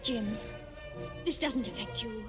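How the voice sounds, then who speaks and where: An actress as an earnest high-voiced wife, old radio. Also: female voice, measured pace, natural voice. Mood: pleading, restrained. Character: earnest, emotionally restrained wife in a troubled marriage. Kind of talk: 1940s radio suspense acting. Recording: muffled 1940s radio recording, reverberant, music behind.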